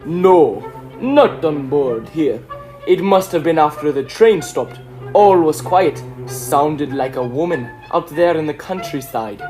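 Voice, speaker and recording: A man's voice: a little high, expressive, slow, a little mellow, faint music behind. A man playing a matter-of-fact, curious opera company member in a period audio drama. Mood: matter-of-fact, uneasy.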